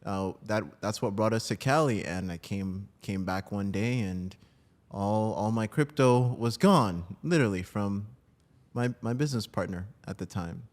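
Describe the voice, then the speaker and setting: Guy from jersey, lowish voice, chatting casually as a podcast guest. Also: a little slow, darkish tone. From New Jersey, United States. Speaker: podcast guest, breathwork coach. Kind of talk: casual interview talk.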